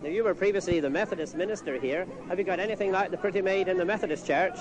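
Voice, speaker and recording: High-voiced, quick male outside-broadcast reporter. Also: a crowd in the background, rough recording. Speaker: radio reporter. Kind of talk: live outside broadcast interviewing. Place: outdoors at a street fair. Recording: outdoor fair tape with background music.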